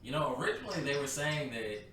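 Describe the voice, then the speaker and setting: A man's voice: mid-range voice, lively intonation, fast talker, pushed and bright. A man in his twenties co-hosting a podcast, talking in a blunt, opinionated, casual way and laughing easily. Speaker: podcast co-host. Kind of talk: casual chat. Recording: very echoey room, far from the mic, faint noise.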